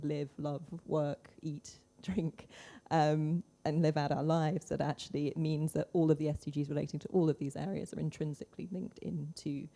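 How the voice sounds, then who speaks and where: A woman's voice: low voice, vocal fry, mellow. A woman speaking informally as a panelist at a conference.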